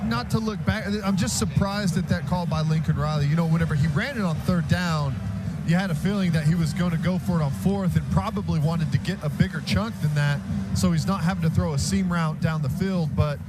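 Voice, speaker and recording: A man's voice: fairly high pitch, fairly quick, over loud music. An American man giving conversational, explanatory color commentary on a live football radio broadcast. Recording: very noisy stadium radio broadcast.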